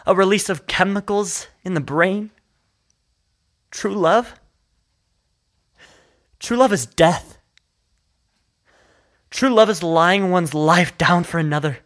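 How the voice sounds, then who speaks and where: A man's voice: sits high, fairly bright tone. An actor playing a grief-stricken, haunted young man in an emotional, solemn audio drama performance.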